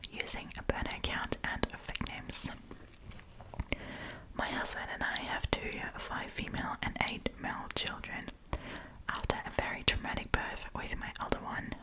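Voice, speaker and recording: A woman whispering softly as an ASMR host, reading stories and chatting casually with listeners. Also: poor audio. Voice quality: soft unvoiced whisper.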